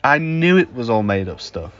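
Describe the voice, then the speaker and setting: A man's voice: medium pitch, sing-song, moderate pace, fairly bright tone, highs cut off. A young man acting in an audio drama as an opinionated man who is smugly sure he is right. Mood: smug, insistent. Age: young adult. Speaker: student actor.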